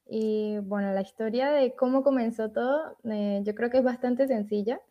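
A woman's voice: a little high, moderate pace. A young woman from Latin America, a translator and podcast guest, telling stories in a chatty way and laughing often. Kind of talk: casual podcast interview. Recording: dull lo-fi audio.

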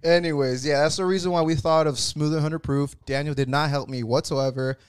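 A man's voice: a little high, steady tone, fairly quick, clear, resonant tone, dry recording. A young man co-hosting a casual podcast with a friend, laid-back and slangy, swearing casually. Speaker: podcast co-host. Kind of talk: casual chat.

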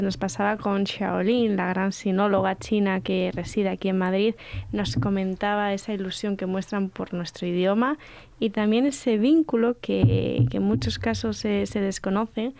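A woman's voice: medium pitch, steady tone, normal speed, creaky, a little mellow. A woman from Spain hosting an interview, framing questions in an effusive way. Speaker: host.

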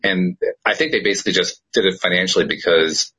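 A man with a very low, husky voice, hosting a relaxed podcast conversation. He talks in a measured, reflective way and often chuckles between thoughts. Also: rough recording. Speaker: podcast host. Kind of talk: casual chat.

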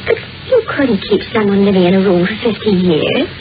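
A woman's voice: medium pitch, expressive, a little fast, lightly acted. An actress playing a gossipy, curious and easily frightened local woman, chatty and hesitant, in an old-time radio drama. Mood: intrigued. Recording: muffled vintage radio recording with music.

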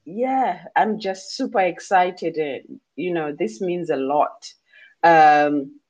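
A woman's voice: lowish voice, takes it slowly, darkish tone, lo-fi sound. A Zimbabwean woman, a musician, answering interview questions thoughtfully with many fillers and restarts. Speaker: musician guest.

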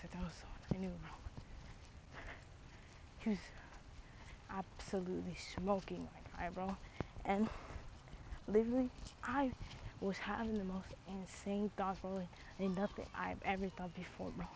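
A young woman rambling casually to her stream, her thoughts drifting from one thing to the next with a steady stream of fillers and slang like bro and dude. She sits at a mid pitch. Her audio is dull and lo-fi, with a clear hum of noise behind her. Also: measured pace, long pauses.

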